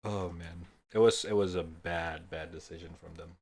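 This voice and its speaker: A man's voice: deep voice, steady tone, moderate pace, breathy edge. A young man from Indonesia chatting casually on a live stream, rambling and swearing in a laid-back way.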